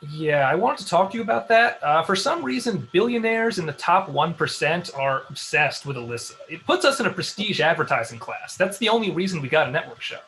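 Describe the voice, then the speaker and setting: A bright, high male voice from a man acting as a dry, unimpressed staffer in his thirties. The comedy read is deadpan and needling, with slight background noise. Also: a little fast, few pauses. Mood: matter-of-fact. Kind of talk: comedy script table read.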